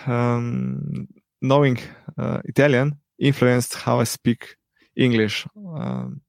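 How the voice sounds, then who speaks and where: Slow, gravelly man with frequent ums. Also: mid-range voice, very animated, darkish tone, no room sound. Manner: hesitant, many ums and pauses. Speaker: teacher and podcast guest. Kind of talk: unscripted interview answers.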